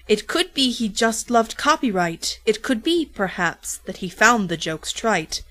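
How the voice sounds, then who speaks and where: Unhurried woman narrating a storybook parody. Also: fairly high pitch, sing-song, a bit bright. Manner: dramatic, playful, drawn-out emphasis on repeated words.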